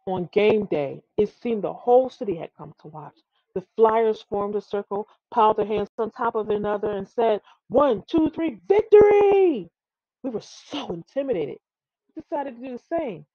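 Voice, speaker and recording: A woman's voice: mid-range voice, animated, moderate pace, no room sound, dull audio. A woman reading a children's tall tale aloud, expressively and with some stumbles over the words. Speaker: storybook reader.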